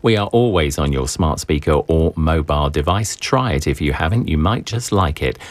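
A man hosting a morning public radio show, reading station announcements and sponsor credits in a measured announcer manner. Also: extremely low pitch, expressive, no echo.

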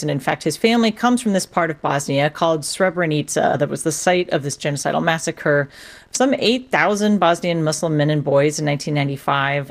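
Female radio sports reporter with a slightly low voice, explaining events conversationally.

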